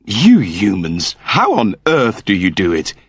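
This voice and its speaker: Sci-fi audiobook android, now human: prim, earnest, wordy, wildly animated pitch, very dry close sound. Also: male voice, measured pace, slightly rough, no echo, full character voice. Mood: giddy, overwhelmed. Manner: prim, wordy, over-polite. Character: eager, fussy android newly turned human, male, naively earnest.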